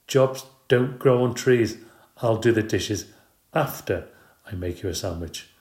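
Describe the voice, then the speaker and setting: A weary, out-of-work father, defensive and worn down, played by a man reading the story. His lowish voice rings in a roomy, echoey space. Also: measured pace, darkish tone.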